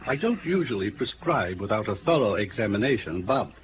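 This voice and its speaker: Curt, sardonic physician in vintage radio drama, brisk formal delivery, tinny recording. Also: male voice, on the low side, big pitch swings, background noise. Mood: cold, dismissive. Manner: clipped, sardonic, coolly formal. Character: curt, sardonic male doctor, cold and proud. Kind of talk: 1950s radio drama acting.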